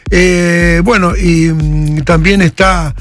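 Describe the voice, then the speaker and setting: A man's voice: sits high, fairly even intonation, takes it slowly. A man, a festival director being interviewed on radio, talking enthusiastically with many hesitations. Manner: enthusiastic, rambling, hesitant fillers. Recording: radio broadcast with faint music.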